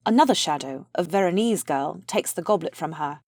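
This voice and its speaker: A woman's voice: lowish voice, expressive, brisk, darkish tone, no room sound, clean studio sound. A woman reading the stage directions of a play as scripted narration.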